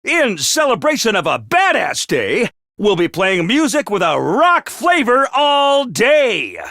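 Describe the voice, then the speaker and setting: Very high, bouncy game announcer voice, bright and bubbly, unhinged cheer, dry studio sound. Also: male voice, huge pitch swings, slow pace, creaky, no echo, full character voice. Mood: manic. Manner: bubbly announcements, bursts into gleeful shouting. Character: chipper, unhinged male academy announcer, playful and manic. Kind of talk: video game voice lines.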